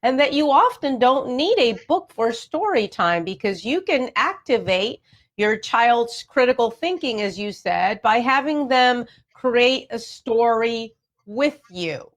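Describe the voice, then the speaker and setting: A woman's voice: on the high side, very animated, slow. A woman hosting an educational talk for families, speaking in an encouraging, affirming way straight to her listeners. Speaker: radio show host.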